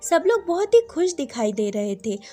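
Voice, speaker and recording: A woman's voice: high-pitched, sing-song, moderate pace, warm tone, soft background music. A woman narrating a scripted story as a storyteller.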